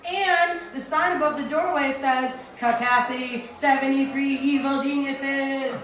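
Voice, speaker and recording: A woman's voice: high-pitched, steady tone, a little slow. A woman playing a plucky, wry lady detective in an old-time radio serial parody, with melodramatic delivery. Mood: wry, matter-of-fact. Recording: echoey, distant, loud background noise.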